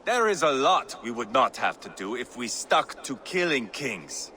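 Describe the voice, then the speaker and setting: A mocking, bloodthirsty Viking warlord from a video game. His voice is sharp and forceful and leaps about theatrically, with clear ambient noise behind it. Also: mid-range voice, big pitch swings, measured pace. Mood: impatient, scornful. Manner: sardonic, theatrical. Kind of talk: video game cutscene acting.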